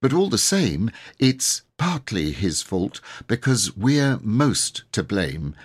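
A man's voice: lowish voice, very animated, takes it slowly, husky, exaggerated voice. A man voicing an earnest, flustered young boy who stumbles and corrects himself politely in a storybook reading. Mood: blurting. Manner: stumbling, self-correcting, polite schoolboy chatter.

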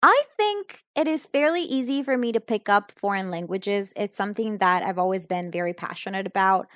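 A young woman speaks English slowly and deliberately, taking her time over each answer. She sounds thoughtful and a little hesitant, filling gaps with soft ums. Her voice is close and dry, with no room sound around it. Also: mid-range voice, clean tone, no echo. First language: Italian. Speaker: English learner answering questions. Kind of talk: unscripted answers in an English lesson.

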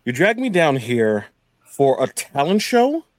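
An impatient senator played by a man in a radio play. He is demanding, and his pitch jumps around with lively force. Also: unhurried. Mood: impatient, scornful. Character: impatient, demanding male senator, greedy and gruff. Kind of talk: live radio theatre script reading.

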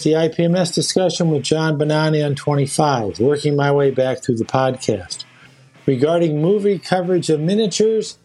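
A man's voice: fairly high pitch, a little mellow. A man from Illinois leaving a matter-of-fact voice message, reading out a list. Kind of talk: recorded voice message.

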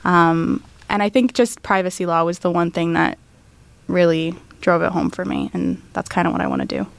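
A woman's voice: on the low side, steady tone, normal speed, creaky, no echo. A woman in her twenties, a law student answering interview questions thoughtfully, with frequent ums.

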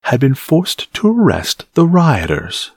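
A deep-voiced man doing a furious old musketeer captain. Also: expressive, measured pace, slightly rough, dry recording, exaggerated voice. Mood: indignant. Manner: scolding, shouting. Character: angry middle-aged male captain of musketeers.

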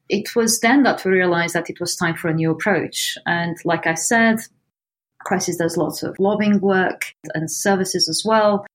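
A woman from Portugal with a Portuguese accent, answering interview questions in an earnest, measured way. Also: fairly low pitch, rough recording. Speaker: founder of a homelessness research organization.

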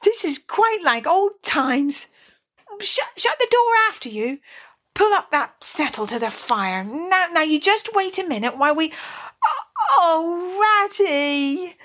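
A woman's voice: mid-range voice, slow pace, few pauses. A woman voicing an eager, kindly, easily flustered little male mole in a storybook reading. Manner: eager, excitable. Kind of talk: storybook character voice.

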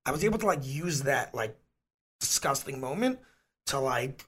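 Hoarse man in casual chat. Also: medium pitch, lively intonation, moderate pace, a bit bright. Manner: rambling, restarts often. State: hoarse, losing his voice. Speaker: podcast co-host.

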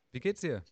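A man hosting a casual podcast, relaxed and chatty with frequent fillers. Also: medium pitch, vocal fry, slightly rough, no echo. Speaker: podcast host.

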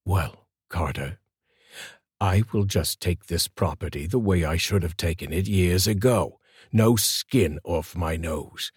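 A man playing a menacing, greedy thug who sneers and insults, with slow threats. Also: low voice, slightly rough, no echo, studio quality, full character voice. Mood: sneering threat.

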